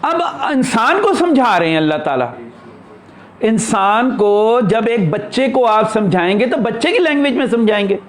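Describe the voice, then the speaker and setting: A man's voice: high voice, sing-song, normal speed. A man giving an unscripted spiritual discourse as a teacher, explaining in short, broken phrases.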